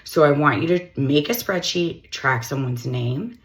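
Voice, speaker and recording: A middle-aged woman recruiter with a slightly hoarse voice, giving direct, matter-of-fact job-search advice straight to the camera. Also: sits low, animated, unhurried.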